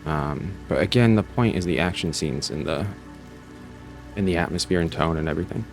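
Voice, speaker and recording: Low-pitched rambling man introducing a story. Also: fairly quick, soft background music. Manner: rambling, self-deprecating. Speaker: channel host and story writer. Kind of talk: casual rambling video intro.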